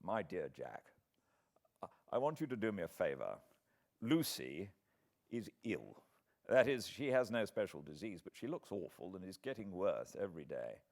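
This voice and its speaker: An earnest, worried British gentleman, voiced by a man reading an audiobook, writes to an old friend. His voice is on the low side, with very varied, expressive intonation. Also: moderate pace, gravelly, heavily acted. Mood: anxious, earnest. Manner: anxious, earnest. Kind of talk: dramatised audiobook letter reading.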